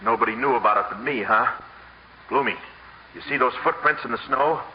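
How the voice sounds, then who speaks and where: An actor playing a brash, easily flustered small-time hustler with slangy wisecracks, in 1950s radio comedy style. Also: male voice, medium pitch, brisk, natural voice. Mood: urgent. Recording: noisy muffled old radio broadcast.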